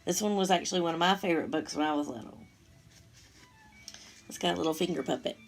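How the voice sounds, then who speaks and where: A woman reading a picture book aloud to young children, with expressive, simple asides as she goes. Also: on the low side, fast talker, faint music behind. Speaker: storytime reader for young children.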